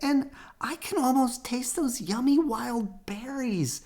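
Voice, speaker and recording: An eager little sister bear cub from a storybook, voiced by an adult reader. The pitch jumps high and the delivery is excited and childlike. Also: takes it slowly, husky, heavily acted.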